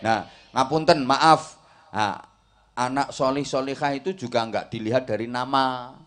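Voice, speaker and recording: A man's voice: mid-range voice, unhurried, a bit bright. Javanese-accented man preaching with folksy humour. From Java, Indonesia. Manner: jokey, folksy, rhetorical, checks listeners understand. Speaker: Islamic preacher giving a talk to a congregation. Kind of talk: humorous sermon, mixing Indonesian and Javanese.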